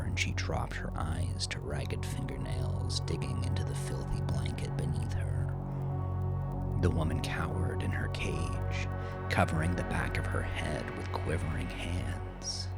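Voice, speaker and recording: Deep-voiced male narrator, slow and grave. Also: steady tone, creaky, in a whisper. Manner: grave, suspenseful, deliberate. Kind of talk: dramatic scripted audiobook narration. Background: music under the voice.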